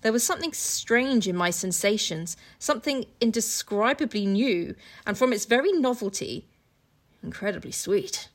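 A woman's voice: medium pitch, expressive, moderate pace. Irreverent woman reading aloud and bantering. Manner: irreverent, sweary, mocking asides. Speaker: podcast co-host reading a novel aloud. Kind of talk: casual book podcast, reading aloud with joking commentary.

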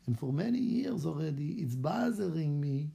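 A man's voice: a little high, sing-song, moderate pace, vocal fry, dark tone, slightly rough, full character voice. A man putting on an eager Israeli rabbi. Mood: earnest. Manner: eager, chatty storytelling in broken English. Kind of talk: acted-out story for children.

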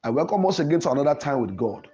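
A man's voice: a little high, sing-song, racing, warm tone, highs cut off. A man, a pastor, preaching and praying in an emphatic, declamatory way with repeated phrasing. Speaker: pastor, programme host. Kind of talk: Pentecostal sermon and prayer. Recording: music playing behind the voice. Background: music.

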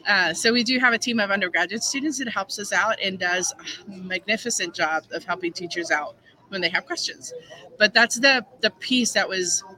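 Bright-voiced woman guest. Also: mid-range voice, low-quality recording. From Puerto Rico. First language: Spanish. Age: middle-aged. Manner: earnest, explanatory, steady. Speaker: guest, curriculum program manager. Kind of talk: conversational podcast interview.

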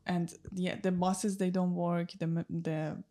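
A woman's voice: lowish voice, flat delivery, a little slow, warm tone. Woman co-hosting an interview podcast. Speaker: podcast co-host. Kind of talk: casual interview chat.

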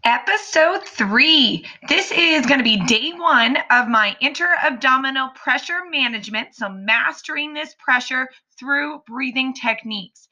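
A woman hosting a solo fitness podcast, explaining earnestly and encouragingly, her voice slightly raspy from allergies. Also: high-pitched, animated, measured pace, hardly any pauses, sharp tone, muffled recording. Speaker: podcast host and pelvic floor fitness coach.

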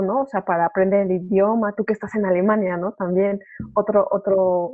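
A young woman with a Mexican accent chatting informally as a podcast guest, often correcting herself as she tells her story. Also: mid-range voice. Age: young adult. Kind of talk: casual chat, personal story. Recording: narrow phone-like remote call audio.

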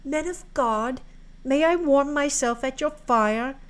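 A woman's voice: high-pitched, slow pace, pitched above the performer's own voice. A woman voicing a meek young girl in a storybook tale, pleading politely and earnestly in old-fashioned words. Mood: sad pleading. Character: meek, pleading orphan girl sent into winter mountains.

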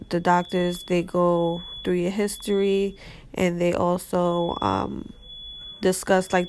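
A woman with a stuffy nose from a cold, telling her own story as a guest in a soft-spoken, unhurried way. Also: fairly low pitch, slight background noise. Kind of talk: casual personal storytelling.

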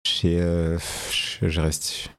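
Laid-back man with a lower voice. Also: extremely slow. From France. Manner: relaxed, hesitant with slang. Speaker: guest, fashion entrepreneur. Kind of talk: casual interview talk.

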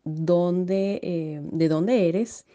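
A woman from Venezuela with a Venezuelan accent, hosting a podcast interview and filling pauses with many "eh" and "este" sounds. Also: fairly low pitch, steady tone, warm tone, muffled recording. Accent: Venezuelan Spanish. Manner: hesitant, many fillers. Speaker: podcast host. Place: home setup, recording at night.

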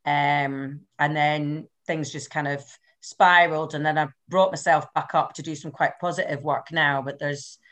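A middle-aged woman from the Scottish Highlands with a Scottish accent, talking as a guest in a casual interview, chatty and self-deprecating with many fillers. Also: steady tone, moderate pace, few pauses, a bit bright. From Scottish Highlands and Islands. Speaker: guest, abuse awareness advocate.

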